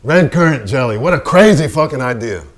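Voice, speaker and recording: A man's voice: medium pitch, animated, natural voice. Actor as a brash, troubled male painter. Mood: irritated, scornful. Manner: profane, raw, halting. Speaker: stage actor. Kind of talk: theatrical staged reading, dramatic monologue.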